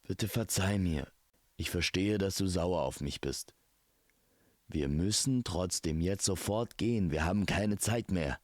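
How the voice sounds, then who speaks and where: A man's voice: low voice, a little slow, a little mellow, big-room sound. Man acting an earnest, apologetic young angel. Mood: apologetic, urgent. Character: earnest young male angel archer, apologetic, honourable suitor.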